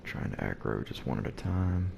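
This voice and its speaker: A man with an extremely deep, gravelly voice speaks softly and low, holding back so as not to wake the house. He talks through gameplay in a relaxed, hushed way, with clear hiss and noise underneath. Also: normal speed. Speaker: let's play host. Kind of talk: gameplay commentary. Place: at home early in the morning. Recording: noisy background hiss.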